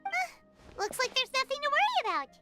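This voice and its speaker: A woman's voice: very high voice, very animated, normal speed, over loud music. A woman voicing a tiny, childlike, inquisitive fairy companion in a video game. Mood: relieved.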